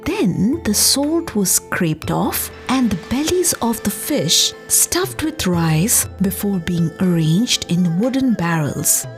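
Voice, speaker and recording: A woman narrating a scripted story as a podcast host, in a measured, expressive explanatory way. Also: mid-range voice. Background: background music.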